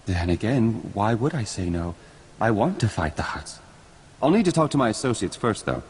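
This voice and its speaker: Rebel leader for a game: low, earnest, wary, long reverb over music. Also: male voice, sing-song, moderate pace, a little mellow, slight background noise. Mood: cautious. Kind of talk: video game cutscene acting.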